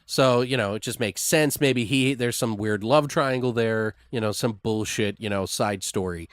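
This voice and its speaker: A man's voice: medium pitch, normal speed, dry recording. A man co-hosting, casually brainstorming a made-up story in a loose, rambling, profane way. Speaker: podcast co-host.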